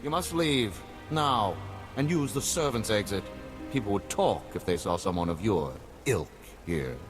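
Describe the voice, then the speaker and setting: A pompous aristocratic uncle for a video game. He is haughty and formal, a slightly low voice with very animated intonation and dripping disdain. Faint music plays behind him. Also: unhurried. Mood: indignant, scolding. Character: pompous, snobbish male noble uncle, easily scandalised. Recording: background music under the voice.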